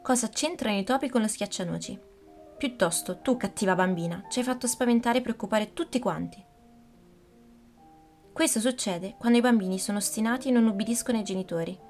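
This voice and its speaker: A woman's voice: medium pitch, brisk, darkish tone, soft background music, lightly acted. A woman voicing a worried, firm mother who scolds and soothes her daughter, in a storybook reading. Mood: scolding.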